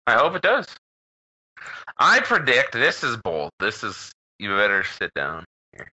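A man's voice: medium pitch, huge pitch swings, unhurried, pushed and bright. A man with a Canadian accent co-hosting a podcast, joking around and swearing freely. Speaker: podcast co-host. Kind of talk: casual banter. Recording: slightly muffled podcast audio.

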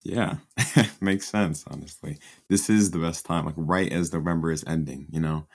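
Young man, podcast host, very low voice, easygoing, laughs often. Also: steady tone, moderate pace, a little mellow. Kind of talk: casual interview chat.

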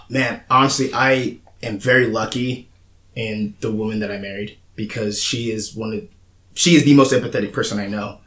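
A man's voice: lowish voice, fairly even intonation, moderate pace, a bit bright. A young man hosting a podcast, speaking candidly in a casual, rambling way with frequent restarts and fillers. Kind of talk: casual conversation. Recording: roomy echoey room.

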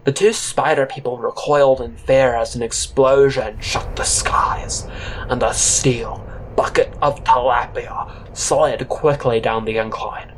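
A man's voice: mid-range voice, steady tone, measured pace, a little hiss or hum, low-quality recording. A man telling a comic first-person story in an audio drama, with a hesitant, theatrical delivery. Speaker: narrator and counter worker character.

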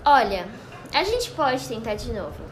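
A woman's voice: on the high side, sing-song, unhurried, echoey room, a little hiss or hum. A woman acting a wronged, dramatic young wife in an amateur radio soap opera. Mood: guarded. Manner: dramatic, gasping, exclamatory. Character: young wife and model, wounded, swooning over gifts.

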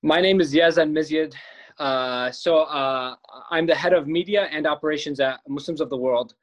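A man's voice: a little high, moderate pace. A young man from Palestine, a filmmaker guest on a podcast, telling his story earnestly and often restarting his words.

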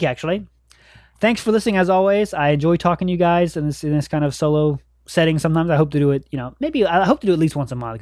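A man talks alone into a close mic, giving listeners a rambling, heartfelt pep talk and tripping over his own restarts. His voice sits a touch high, and the room around him is dead and dry. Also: darkish tone, no room sound. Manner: earnest, rambling, self-correcting pep talk. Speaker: podcast host. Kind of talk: solo podcast monologue.

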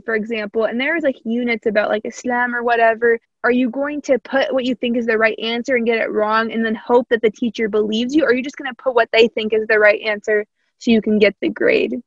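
A woman's voice: fairly high pitch, no room sound, highs cut off. A young woman from Indiana with a slight Southern American accent, hosting a casual interview in a thoughtful, rambling way. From Indiana, USA.

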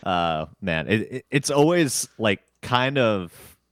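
Low-voiced man hosting a sports podcast. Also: slow pace, no echo, studio quality. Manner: relaxed, rambling, chuckles often. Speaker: podcast host. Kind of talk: casual sports podcast chat.

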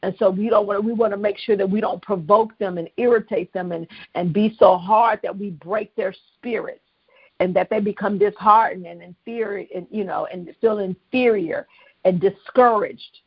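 Woman pastor, preaching on a live call. Middle pitch, dry and dull line. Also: steady tone, normal speed, husky, no room sound. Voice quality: strong voice. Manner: preachy call-and-response with the listeners. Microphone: phone line.

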